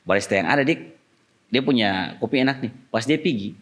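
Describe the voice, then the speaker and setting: Lively Ambonese-accented man hosting chat. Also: mid-range voice, a little fast. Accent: Ambonese Malay. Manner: joking, rambling, lots of restarts. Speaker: podcast host. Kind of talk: casual chat.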